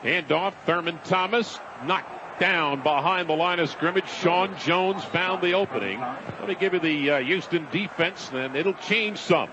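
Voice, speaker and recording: High-pitched American football radio announcer, man. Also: measured pace, highs cut off. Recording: noisy stadium radio broadcast. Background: stadium noise.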